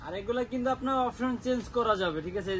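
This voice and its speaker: A Bangladeshi man giving a casual sales pitch as a shop seller, often checking in with short tag questions. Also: racing, a crowd in the background. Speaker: toy shop seller presenting products.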